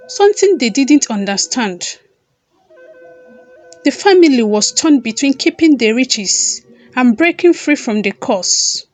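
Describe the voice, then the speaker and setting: A high-voiced woman narrates a folktale at a slow, deliberate pace. She reads as a storyteller, pausing between phrases, with music playing softly behind her. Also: poor audio. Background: background music.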